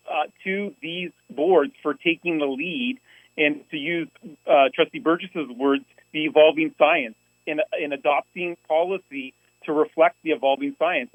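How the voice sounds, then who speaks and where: Guy at a board meeting, kinda high voice, earnest, dry muffled audio. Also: no echo. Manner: earnest, halting with restarts. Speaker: union representative presenting to a school board. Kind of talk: public meeting remarks.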